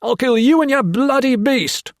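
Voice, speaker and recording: A man's voice: fairly high pitch, expressive, takes it slowly, gravelly, breathy edge, dry recording, studio quality, pitched above the performer's own voice, full character voice. A man voicing a deranged old male army colonel who screams and hisses abuse. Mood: raving. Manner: shrieking, screaming, hissing.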